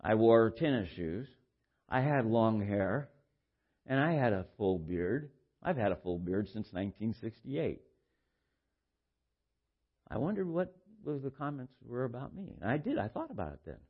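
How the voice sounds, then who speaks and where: An older man delivers an informal sermon in a baritone of middling pitch. He speaks conversationally, halting and repeating words as he works through anecdotes and teaching. The sound is a little dull and lo-fi. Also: sing-song, breathy edge. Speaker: preacher.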